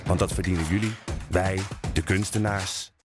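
A man's voice: deep voice, steady tone, moderate pace. A man reading a scripted promotional introduction as a podcast announcer. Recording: loud music underneath.

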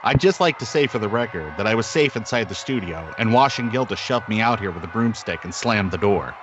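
A man's voice: fairly low pitch, lively intonation, fairly quick, close to an everyday voice. A man playing a put-upon radio reporter giving a live report from the street in a comedy drama. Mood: aggrieved. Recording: dull sound, noisy city street behind.

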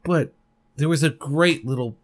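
A man's voice: a little high, unhurried. Rambling, candid male podcast host. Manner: swears casually. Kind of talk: solo podcast monologue. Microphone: desk microphone at a computer.

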